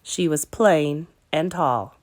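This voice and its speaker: A woman teacher narrating a children's story in an expressive read-aloud style. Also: sits low, slow.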